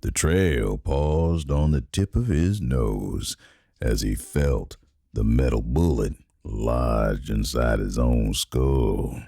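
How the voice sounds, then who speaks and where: Very deep, gravelly western narrator. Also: male voice, slow pace, mellow, no echo, clean studio sound, heavily acted. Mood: grim. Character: grim, grizzled storytelling narrator of a Western tale. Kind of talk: dramatic scripted narration.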